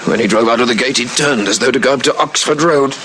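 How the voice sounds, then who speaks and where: A man's voice: medium pitch, quick pace, lightly acted. An actor playing a wounded, dogged policeman in an old radio drama, with a strained, pained delivery. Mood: pained, straining. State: in pain, straining. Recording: slightly noisy vintage radio drama recording.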